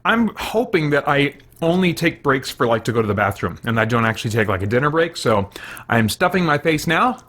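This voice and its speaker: A man's voice: medium pitch, sing-song, brisk, a bit bright. An American man chatting casually as a livestream host, thinking aloud in a rambling, self-correcting way. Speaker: live streamer, gaming host.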